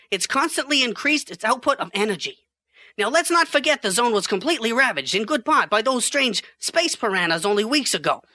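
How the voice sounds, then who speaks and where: A woman's voice: fairly high pitch, sharp tone, no room sound, heavily acted. A woman playing a long-winded male chief scientist explaining things earnestly in an amateur sci-fi radio play.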